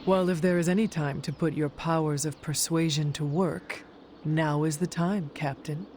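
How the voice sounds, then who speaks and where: An actress playing an earnest, formal resurrected demon hunter in a video game, speaking in a measured, probing way. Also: female voice, low-pitched, fairly even intonation, darkish tone, slight background noise, lightly acted. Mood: solemn. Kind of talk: video game cutscene dialogue. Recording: music under the dialogue. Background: music.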